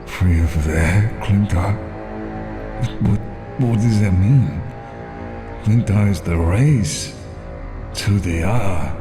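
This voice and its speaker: A man as a deep-voiced, shaken comic antihero. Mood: confused, insistent. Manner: halting, disbelieving. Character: shaken, bewildered adult male host of an alien symbiote. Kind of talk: dramatic motion comic acting. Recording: echoey, music underneath.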